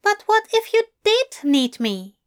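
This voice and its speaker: A woman voicing a fussy, gentle male angel who speaks earnestly and fretfully. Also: very high voice, very animated, takes it slowly, pitched above the performer's own voice, exaggerated voice. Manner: bright, fluttery, earnest.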